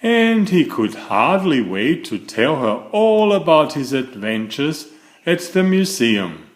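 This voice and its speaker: A man reading a children's picture book aloud in a deliberate, expressive storytelling manner. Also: fairly high pitch, reverberant.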